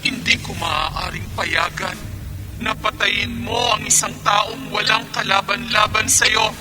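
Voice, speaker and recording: Good monster, high voice, earnest n defiant, radio horror w/ music. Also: male voice, little pitch movement, unhurried. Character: defiant, protective monster who denies its own kind. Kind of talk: horror radio drama acting. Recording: dramatic music under the voice.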